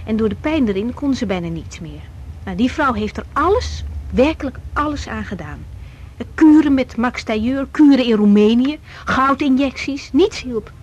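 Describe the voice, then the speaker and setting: A woman's voice: fairly high pitch, animated, moderate pace, husky, dull audio. An actress playing a young, overworked woman doctor in a radio drama, speaking candidly, colloquially and with emotion. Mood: earnest, explaining. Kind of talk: 1980s Dutch radio drama acting.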